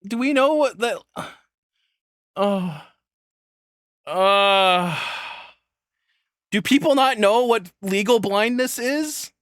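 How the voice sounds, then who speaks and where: A man's voice: high voice, lively intonation, extremely slow, pauses often, a bit bright, no echo, studio quality. A man giving casual, unscripted reaction commentary as a video host, blunt and profane, exclaiming when worked up.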